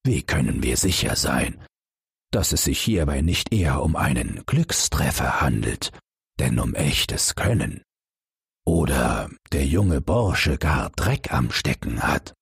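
A man voicing a stern, suspicious old lord of the manor, measured and doubting. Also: a bass voice, big pitch swings, vocal fry, husky, no room sound, clean studio sound, voice pushed down, full character voice. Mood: doubtful.

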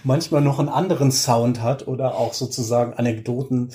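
A man in his forties chatting casually as an interview guest, telling things with animated enthusiasm. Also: mid-range voice, brisk. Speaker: interview guest, podcaster and audio drama creator.

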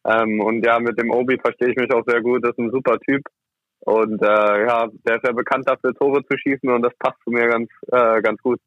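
A young man speaks in a mid-range voice with a halting, casual delivery, like an athlete being interviewed. The sound is dull and close, with very little room around it. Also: mid-range voice, level tone, no room sound. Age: young adult. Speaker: ice hockey player, interviewed guest. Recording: muffled, dull lo-fi audio.

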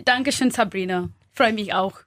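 A young woman from Kenya speaking German as a second language, halting with many fillers and small grammar slips, as an interview guest. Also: medium pitch, fairly bright tone, dry recording, studio quality. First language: not German. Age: young adult.

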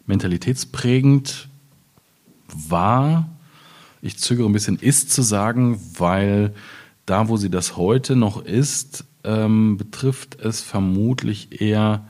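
Low, gravelly man, thoughtful and hesitant. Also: a little mellow. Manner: hesitant, deliberate, searching for words. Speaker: author in panel discussion. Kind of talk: reflective literary discussion.

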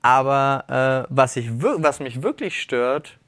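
A man's voice: mid-range voice, animated, slow pace, fairly bright tone, dry recording. A man in his thirties, a podcast host, talking in a rambling, reflective way and often correcting himself mid-sentence. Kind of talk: casual chat.

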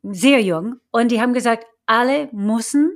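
A middle-aged woman with an American accent and English as her first language, speaking German haltingly with many fillers and restarts as she tells her life story in an interview. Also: a little high. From United States. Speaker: guest, actress. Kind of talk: casual interview, personal story.

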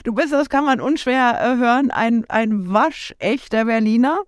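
Bright high-pitched female radio host. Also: normal speed, no echo, clean studio sound. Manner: lively, chatty, teasing. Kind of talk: radio interview hosting.

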